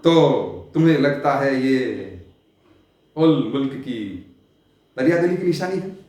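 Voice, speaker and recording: An actor playing a world-weary, eccentric sultan in a stage monologue, grand and theatrical, with long dramatic pauses. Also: male voice, medium pitch, animated, a little mellow. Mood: suspicious, questioning. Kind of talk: Hindi stage play monologue, poetic Urdu diction. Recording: very echoey, reverberant room.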